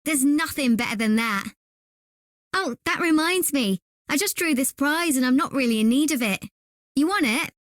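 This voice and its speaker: A woman voicing a cheerful, generous, easygoing young game character with a friendly, gentle manner. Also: high-pitched, animated, normal speed, no echo, clean studio sound. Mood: contented.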